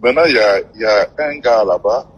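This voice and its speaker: Man, West African French accent, fairly low pitch, very husky, halting repetitive phone talk. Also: low-quality recording. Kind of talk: informal phone conversation. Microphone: telephone.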